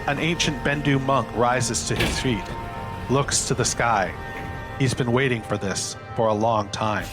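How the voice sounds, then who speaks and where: A man's voice: mid-range voice, measured pace, over music. A man giving scripted narration of a screenplay, reading scene headings and action as a narrator.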